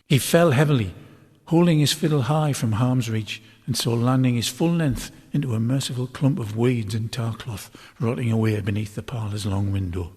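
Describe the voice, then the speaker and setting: A man reading a story aloud as scripted narration, in a measured, dramatic literary manner. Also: medium pitch, darkish tone, husky. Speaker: author reading a novel chapter aloud. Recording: long hall-like reverb.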